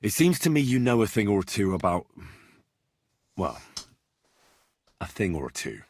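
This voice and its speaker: An actor playing an earnest young man in a game, asking probing questions with a husky voice. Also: lowish voice, wildly swooping pitch, measured pace, dry recording, full character voice. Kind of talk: game dialogue.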